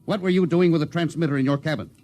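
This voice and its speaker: An American actor playing a stern, commanding spaceship captain in a 1950s radio drama, with clipped, authoritative delivery. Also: male voice, a little high, racing, dark tone, lightly acted. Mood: stern, probing. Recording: hissy 1950s radio broadcast recording.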